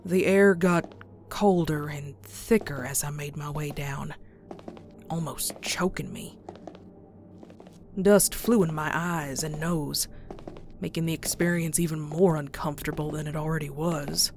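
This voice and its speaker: Woman as slow, low-voiced frightened horror-tale pony. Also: steady tone, faint music behind. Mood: hushed dread. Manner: slow, suspenseful, dropping into hushed breathy whispers. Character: terrified pony narrating a horror tale, dread-filled. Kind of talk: scripted dramatic horror narration for a comic dub.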